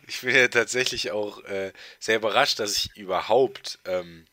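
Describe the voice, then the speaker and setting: A man's voice: lowish voice, a little slow, sharp tone, no echo, studio quality. A teenage boy co-hosting a casual podcast chat, congested with a heavy cold, rambling with lots of fillers and restarts. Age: teens. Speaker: podcast co-host.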